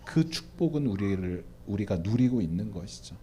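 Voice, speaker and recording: Animated pastor, dark mellow voice. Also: male voice, on the low side, moderate pace, a little hiss or hum. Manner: addresses the audience often, asks and answers his own questions. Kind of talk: church Q&A answer, preaching style. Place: church.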